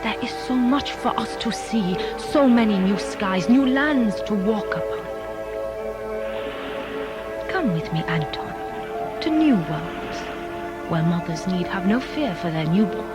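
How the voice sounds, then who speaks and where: An otherworldly tempter, played by an actor, speaking slowly and solemnly in a poetic drama. The beckoning voice rises and falls widely beneath loud music. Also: medium pitch. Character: dreamy otherworldly tempter promising gentle new worlds. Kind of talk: slow, poetic audio drama monologue.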